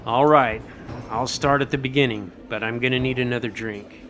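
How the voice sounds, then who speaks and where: A man's voice: medium pitch, fairly even intonation, normal speed, fairly bright tone, natural voice. Guy playing a modest veteran space captain telling war stories, audio drama, music behind him. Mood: grave storytelling. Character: seasoned male starship captain recounting his missions, measured and modest. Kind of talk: audio drama acting, storytelling. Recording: music bed under the voice.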